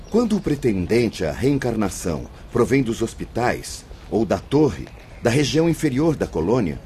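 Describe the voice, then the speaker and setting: An actor playing a learned, patient spirit mentor in a Brazilian radio drama, lecturing formally and solemnly. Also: male voice, medium pitch, mellow, a little hiss or hum, natural voice. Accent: Brazilian Portuguese.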